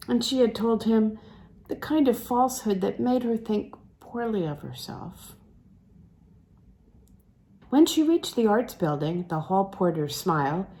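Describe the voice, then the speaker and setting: A woman with a mellow, dark-toned voice of middling pitch reads literary fiction aloud. Her narration is expressive and shaped like an audiobook, with dramatic phrasing and a tendency to soften toward hushed, breathy delivery. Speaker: audiobook-style reader.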